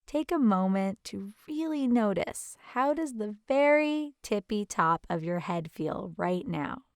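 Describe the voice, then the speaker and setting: Lady doing a guided meditation, soft gentle manner, mid pitch, really smooth clear voice, takes her time. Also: lively intonation, no room sound, studio quality. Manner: gentle, soothing, unhurried guidance.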